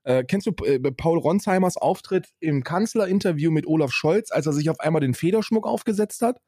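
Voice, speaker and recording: A man chatting comically on a podcast, halting and rambling, dropping in bits of English. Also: on the high side, lively intonation, mellow, slightly rough, dry recording, studio quality. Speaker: podcast co-host. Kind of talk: casual comedic podcast banter.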